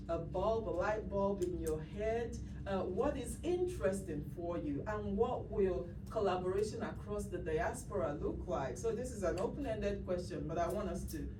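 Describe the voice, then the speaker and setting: A woman's voice: mid-range voice, recorded from a distance. A woman giving a conference breakout talk, speaking conversationally with restarts as she thinks aloud. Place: conference room. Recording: roomy sound from off-mic with clear background noise.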